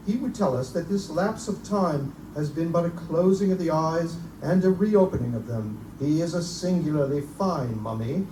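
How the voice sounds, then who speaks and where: A man playing an eccentric, erudite Victorian gentleman, pompous and musing, in a live reading of gothic horror. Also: high voice. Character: eccentric, erudite Victorian Oxford gentleman obsessed with Egyptian relics. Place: live performance in a bookstore. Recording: echoey, distant live recording, faint noise.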